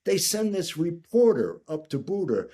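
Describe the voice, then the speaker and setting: Husky animated older American storyteller. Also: male voice, on the high side, measured pace. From United States. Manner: animated raconteur, repeats "right?", acts out quoted voices. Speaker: guest. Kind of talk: storytelling in conversation.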